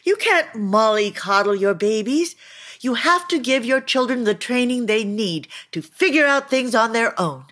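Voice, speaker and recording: Unsentimental middle-aged mom, fairly high voice, curt, scolding and sardonic. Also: female voice, animated, unhurried, fairly bright tone. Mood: scolding, indignant. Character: tough, unsentimental, scolding immigrant-family mother. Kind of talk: audio play acting.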